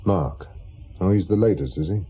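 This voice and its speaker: A man's voice: sits low, moderate pace, husky. A man playing an awkward, wry middle-aged ex-policeman turned private eye in a radio play, muttering and hesitant. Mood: wry, defensive. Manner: hesitant, muttering, dryly sardonic. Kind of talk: naturalistic radio drama acting. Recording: dull, lo-fi radio drama recording.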